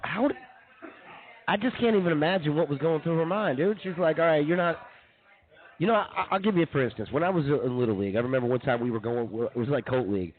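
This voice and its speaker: A man's voice: fairly high pitch, fast talker. A man co-hosting a comedy radio show, rambling through casual, slangy banter with his friends. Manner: rambling, slangy, often says "dude". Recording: dull muffled lo-fi audio.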